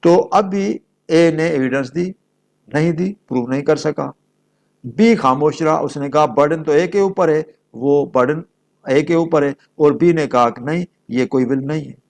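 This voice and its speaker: High-pitched Pakistani man lecturing, slightly creaky. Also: measured pace. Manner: didactic, switches between Urdu and English, poses questions and answers them. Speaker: law lecturer. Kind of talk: explanatory lecture with worked examples.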